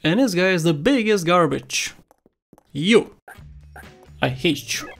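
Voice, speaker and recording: A man's voice: sits high, lively intonation, takes it slowly, gravelly, a bit bright. A young man with a Bulgarian accent, whose first language is Bulgarian, giving jokey, rambling commentary over a game walkthrough. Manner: jokey, rambling, laughs at his own jokes. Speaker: gameplay commentator. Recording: faint game music underneath.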